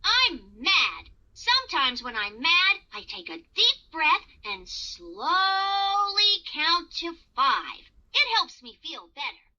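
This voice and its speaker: A performer voicing a friendly young owl in a children's cartoon, speaking in a playful, expressive sing-song way directly to little children. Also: extremely high pitch, takes it slowly, hardly any pauses, exaggerated voice. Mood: mad, calming down. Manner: exaggerated, expressive, encouraging, addressing the child directly. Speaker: children's educational cartoon character. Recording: dull lo-fi sound, music behind.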